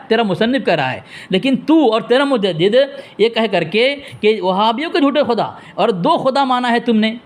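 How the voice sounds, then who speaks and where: A man in a heated religious debate, speaking in a combative, scolding and repetitive way as he argues and addresses listeners. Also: high-pitched.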